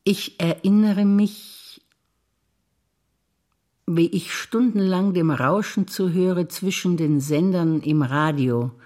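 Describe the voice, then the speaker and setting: An older German woman, a stage actor, reciting her personal memories calmly and wistfully in a theatre piece. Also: lowish voice.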